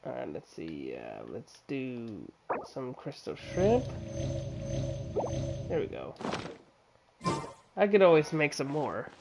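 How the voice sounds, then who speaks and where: A streamer talks through a game casually, thinking aloud in a relaxed way. The voice sits at a middle pitch. Game music plays clearly underneath. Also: slow pace, long pauses. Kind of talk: casual live gameplay commentary.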